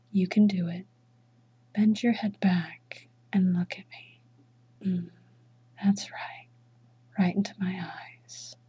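Woman, dominant mistress type: slow, breathy, whispering, commanding. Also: medium pitch, dark tone, dull audio. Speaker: erotic audio roleplay performer.

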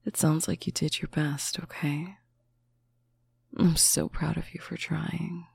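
A speaker in a slow, intimate roleplay, talking softly to a faraway partner on a bedtime call, often dropping into a whisper. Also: medium pitch, little pitch movement, gravelly, breathy edge. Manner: soft, affectionate, often whispered. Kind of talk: intimate roleplay monologue to the listener.

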